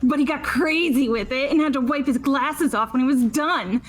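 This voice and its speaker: A woman's voice: sits high, normal speed, close to an everyday voice. A woman in a fan-voiced game playthrough, playing a blunt, mocking teenage girl with a casual, dismissive way of talking. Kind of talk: scripted game dialogue read aloud by fans. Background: background music.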